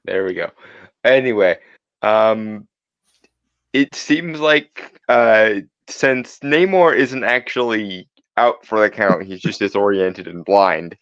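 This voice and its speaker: A man playing a tabletop game, talking casually in a measured, wry, wordy way over a slightly dull microphone. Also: fairly low pitch, fairly bright tone, no room sound.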